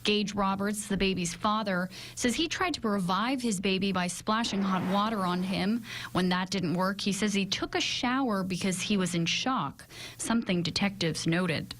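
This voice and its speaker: A woman's voice: medium pitch, moderate pace, noisy background. A woman with an American accent delivering scripted broadcast news narration as a television reporter.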